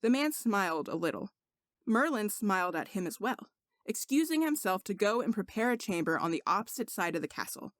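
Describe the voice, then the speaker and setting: A woman's voice: medium pitch, very animated, brisk, dry recording. Woman narrating a story, dry recording. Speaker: audiobook narrator of fan fiction.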